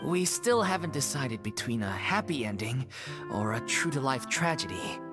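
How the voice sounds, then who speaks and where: A level-headed theatre troupe leader in a video game, with a low voice and very lively intonation. She explains matters in an articulate, earnest way, and music plays behind her. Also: normal speed. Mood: earnest, explanatory. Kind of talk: scripted game dialogue.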